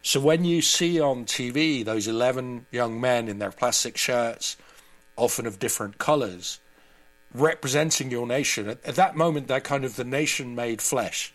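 A man's voice: medium pitch, normal speed, fairly bright tone. A man speaking as an interview guest, giving conversational analysis and commentary.

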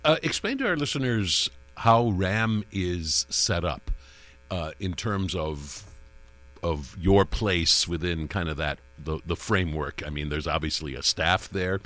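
A man hosting a radio interview, asking easygoing, somewhat halting questions. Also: deep voice, animated, a bit bright. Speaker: radio host.

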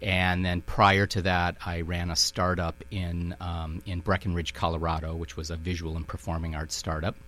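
A man's voice: low-pitched, flat delivery, moderate pace, few pauses, fairly bright tone. A middle-aged man with a Minnesota accent, a museum director talking through his answers in a radio interview with lots of fillers and hedges. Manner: thoughtful, many fillers and hedges.

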